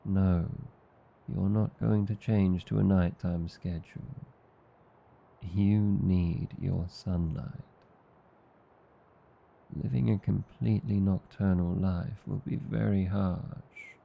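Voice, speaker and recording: A man with an Australian accent playing a gentle, reassuring vampire husband in an intimate ASMR roleplay, tender and soothing. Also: steady tone, slow, highs cut off, exaggerated voice. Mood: gently persuasive. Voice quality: low, dark, gravelly. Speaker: voice actor.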